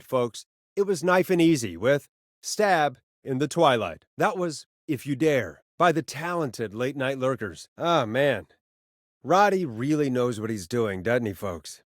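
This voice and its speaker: A smooth, cheeky radio host on a late-night rock show for a video game. His pitch swings widely as he talks like a showy announcer. The man's voice sounds close and dry, with no room around it. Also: no room sound. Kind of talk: radio DJ patter and game voice lines.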